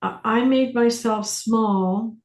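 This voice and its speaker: A woman facilitating a self-inquiry class, speaking deliberately with pauses, questions and phrases repeated for emphasis. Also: fairly high pitch. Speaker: workshop facilitator leading a group class. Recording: roomy echo on the voice.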